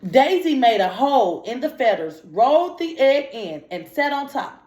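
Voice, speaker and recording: A woman's voice: high-pitched, very animated, normal speed, a little mellow. A woman teacher reading a picture story aloud to children and prompting them with simple comprehension questions in informal speech. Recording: echoey room.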